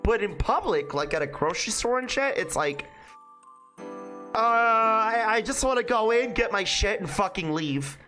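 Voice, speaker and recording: A woman's voice: fairly high pitch, expressive, normal speed, a bit bright. A woman streamer giving casual commentary during a game playthrough, speaking candidly and informally and swearing freely. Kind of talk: casual playthrough commentary, reading visual novel dialogue aloud. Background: music.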